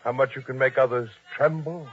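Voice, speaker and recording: An actor playing a humble, anxious but principled innkeeper in old-time radio drama style, earnest and formal. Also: male voice, medium pitch, steady tone, fairly quick, slight background noise, lightly acted. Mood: saddened, reproachful. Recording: dull, lo-fi old radio sound.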